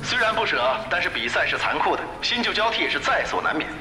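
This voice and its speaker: Fast, high-voiced male esports commentator. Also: very animated, distant mic, background noise. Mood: smugly wistful. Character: chatty, self-important male esports commentator. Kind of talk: broadcast match commentary in audio drama. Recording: roomy broadcast sound under loud music.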